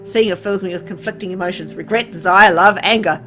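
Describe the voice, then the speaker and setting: Female reader, medium pitch, theatrical brooding first-person narration for a visual novel, clear music bed. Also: quick pace, fairly bright tone. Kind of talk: dramatic first-person narration read aloud.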